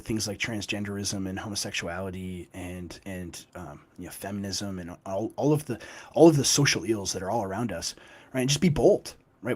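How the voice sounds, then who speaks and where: A young man with a hoarse, gruff voice from a cold, a guest author talking emphatically in an interview and often tagging points with "right?". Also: fairly low pitch. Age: young adult. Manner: emphatic, with frequent "right?" tags. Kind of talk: conversational interview.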